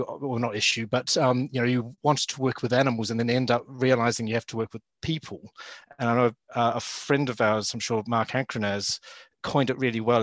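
A man with a New Zealand accent, a zoo vet talking in a relaxed interview, with many ums and uhs. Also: mid-range voice, no echo. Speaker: guest, zoo veterinarian and lecturer. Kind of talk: casual interview talk.

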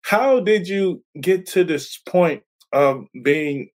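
A man interviewing a guest in a casual chat. He sounds eager and halting, and he piles several questions on top of each other. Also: high-pitched, expressive. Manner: enthusiastic, halting, stacks questions.

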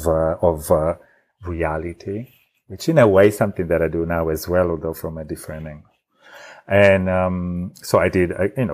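A man from Italy with an Italian accent, telling a personal story as a podcast guest in a halting way with many restarts. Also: sits low, a little mellow. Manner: halting, many restarts and fillers, laughs often. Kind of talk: casual storytelling.

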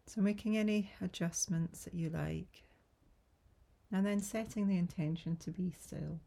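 A woman guiding a yoga nidra relaxation in a soft, breathy, soothing voice, gentle and unhurried with long pauses. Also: fairly low pitch. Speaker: yoga nidra meditation guide. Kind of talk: guided relaxation meditation.

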